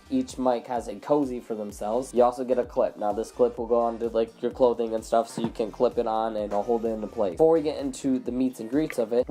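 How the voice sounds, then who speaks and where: A man's voice: medium pitch, warm tone. Sick man losing his voice. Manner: rambling, self-correcting. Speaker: product reviewer. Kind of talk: casual unboxing review. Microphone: clip-on lavalier into phone.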